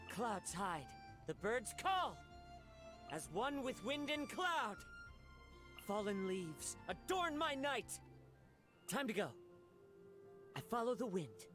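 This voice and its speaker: A man playing a gentle, poetic young wandering samurai in a video game, with reflective, formal phrasing. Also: high voice, exaggerated voice. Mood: battle cries. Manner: soft-spoken, reflective, unhurried formal phrasing. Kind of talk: scripted video game character voice lines.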